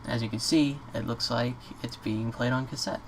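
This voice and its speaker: A man talks through a software tutorial at his computer in a mid-pitched voice. His delivery is casual and unscripted, feeling out the explanation as he goes. There is clear noise behind him, with some keyboard clicks. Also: fairly even intonation, moderate pace. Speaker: tutorial presenter. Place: indoors at a computer.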